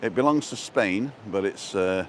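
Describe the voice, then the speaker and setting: Low voiced british guy rambling on a walking livestream, jokey and chatty, some outdoor noise behind him. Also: measured pace. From born in England, lived in Wales and abroad. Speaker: travel vlogger and livestream host. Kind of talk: casual live walking-tour chat, answering viewer comments. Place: outdoors, walking along a seaside promenade. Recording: noisy outdoor street and seafront ambience.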